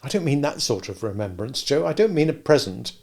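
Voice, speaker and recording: A man's voice: medium pitch, expressive, brisk, vocal fry, a little mellow. A man voicing an eager young apprentice speaking earnestly to an older friend.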